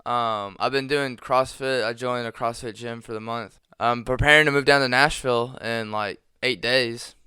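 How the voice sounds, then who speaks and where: A man's voice: mid-range voice, steady tone, moderate pace, hardly any pauses, pushed and bright, smooth, dry recording, clean studio sound. A man in his twenties co-hosting a casual podcast chat, rambling with fillers and laughing often. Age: 20s. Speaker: podcast co-host.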